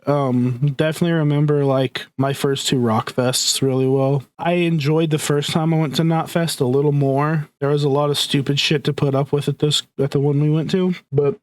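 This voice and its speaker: A slightly high-pitched man talks loosely on a podcast, swearing freely and stalling with fillers. He is sick and his voice is starting to scratch. The sound is dry and close. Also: flat delivery, moderate pace, few pauses, darkish tone, dry recording. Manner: laid-back, swears freely, hesitant fillers. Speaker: podcast host. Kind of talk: casual chat.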